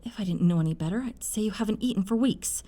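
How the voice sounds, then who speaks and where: A woman's voice: medium pitch, quick pace, dark tone, lightly acted. A woman voice-acting a middle-aged, fiercely protective, doting mother in an audio roleplay, effusive and fussing in manner. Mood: fussing, worried.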